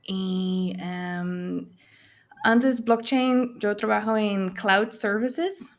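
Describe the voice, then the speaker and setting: Slow, hesitant Dutch-accented woman. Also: mid-range voice, fairly even intonation. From Netherlands. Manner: hesitant, many fillers, laughs often, switches between Spanish and English. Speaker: guest. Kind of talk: casual interview.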